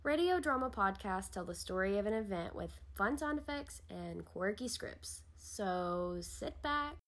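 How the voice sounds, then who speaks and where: A woman's voice: medium pitch, animated, a little slow. A woman hosting a podcast, delivering a scripted introduction to the listeners. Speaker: podcast host.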